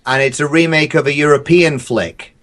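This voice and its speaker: A man chatting casually about films as a podcast guest, talking in a halting, hesitant way. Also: on the high side, fairly even intonation, a bit bright. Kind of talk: casual film chat.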